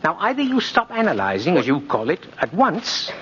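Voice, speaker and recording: A kindly old Santa Claus figure, with a fairly high, lively voice. He speaks with genteel, theatrical emphasis, as in 1950s radio drama. The recording is muffled with a faint layer of noise. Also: normal speed. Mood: indignant. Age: older.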